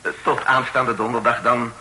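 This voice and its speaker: An actor playing a humble, effusively grateful amateur poet in a period radio play, with deferential, wordy, old-fashioned speech. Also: male voice, medium pitch, flat delivery, normal speed, sharp tone. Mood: earnest, courteous. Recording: noisy old radio drama recording.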